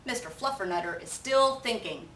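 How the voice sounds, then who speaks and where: A woman's voice: mid-range voice, expressive, normal speed, a bit bright, natural voice. Woman playing a scolding mother cat, sharply rising emphasis. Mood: stern lecturing. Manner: scolding, emphatic, lecturing in rhythmic lists. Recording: roomy, distant mic with faint noise.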